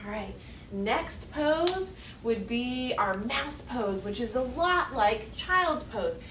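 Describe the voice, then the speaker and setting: A woman's voice: on the high side, animated, slow pace, noisy background. A woman teacher guiding young children through yoga poses step by step, with encouraging, simple singsong talk. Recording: echoey room, distant mic, music behind.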